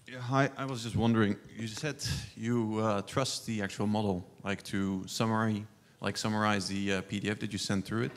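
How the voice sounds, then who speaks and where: A man's voice: on the low side, fairly even intonation, normal speed. Hesitant young man asking a question. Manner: hesitant, many fillers. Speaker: audience member asking a question. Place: seated in a lecture hall audience.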